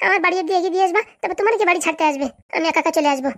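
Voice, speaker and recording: Woman voicing a high, rapid-fire young cartoon boyfriend. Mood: brisk, exasperated. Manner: chatty, reassuring, brushes off objections. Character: young student sweetheart Padu, evasive, reassuring boyfriend. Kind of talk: Bangla comedy cartoon dialogue.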